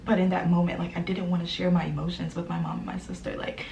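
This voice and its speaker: A young woman vlogging in a soft, hesitant voice, grieving and close to tears, often dropping to a whisper between fillers. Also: lowish voice, little pitch movement, creaky, dull audio, noisy background. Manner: fillers and trailing pauses. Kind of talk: emotional personal vlog.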